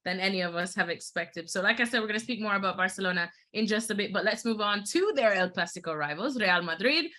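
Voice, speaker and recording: A woman hosts a football show in casual, enthusiastic chat, with plenty of fillers. Her tone is bright and forceful, but a little hoarse today. She keeps the talk moving at a middling pitch. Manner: chatty, enthusiastic, many fillers. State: slightly hoarse.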